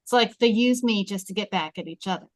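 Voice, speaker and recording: A woman's voice: mid-range voice, a little fast, no room sound, close to an everyday voice. A woman reading a prim, popular teenage girl who is sulky and defensive, at a table read of a film script.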